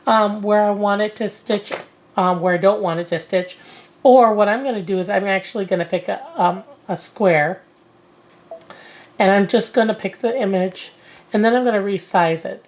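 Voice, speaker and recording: A woman's voice: medium pitch, darkish tone. Chatty woman teaching with a cold. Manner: chatty, many fillers and restarts. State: recovering from a cold. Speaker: sewing instructor. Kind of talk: casual how-to demonstration. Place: cluttered home sewing room.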